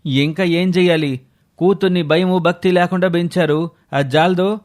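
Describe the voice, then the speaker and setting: An aggrieved village neighbour performed by a man in a moral-story drama. He is sarcastic and accusing, with a slightly high male voice. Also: fairly even intonation, normal speed, darkish tone, close to an everyday voice. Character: indignant village neighbour blaming another family.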